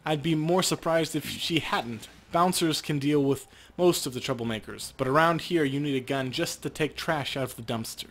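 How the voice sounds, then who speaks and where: A man voicing a courteous, concerned woman from a video game, in a measured, polite way. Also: on the high side, a bit bright. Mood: calm concern.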